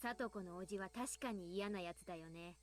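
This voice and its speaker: A woman's voice: medium pitch, racing, soft background music, close to an everyday voice. A woman voicing a cold, menacing teenage girl in a Japanese visual novel, creepy and pointed in manner. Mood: cold accusing.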